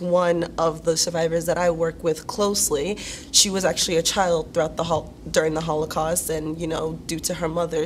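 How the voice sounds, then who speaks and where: A young woman, a student, answering in a news interview. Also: fairly low pitch. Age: young adult. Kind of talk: interview soundbite. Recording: faint background noise.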